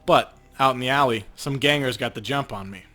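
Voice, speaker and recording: A man's voice: mid-range voice, fairly quick, pushed and bright, close to an everyday voice. Man playing a wry, hunted street runner over game music. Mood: weary, wry. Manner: wry, streetwise tough-guy talk. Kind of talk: reading video game dialogue aloud.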